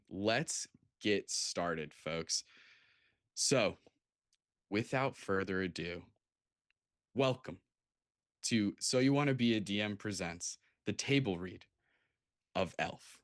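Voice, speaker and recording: A man's voice: on the low side, slow, long pauses, a bit bright, no room sound, clean studio sound. A man hosting a live online event in a casual, chatty style. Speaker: host of a live charity table read.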